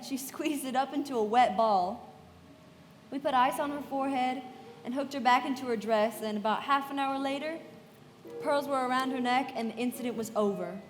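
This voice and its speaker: A woman playing a poised young society woman who tells a story in measured, confiding narration in a live radio play, in a reverberant hall with music behind. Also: sits high, natural voice. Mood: concerned, recounting.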